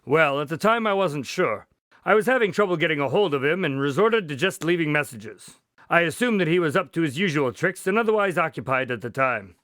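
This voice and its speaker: Lively, higher-pitched gentleman doctor acted by a man. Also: no echo, studio quality. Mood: composed storytelling. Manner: polite, measured, formal phrasing. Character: courteous male doctor and investigator recounting a case. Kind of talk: radio drama acting.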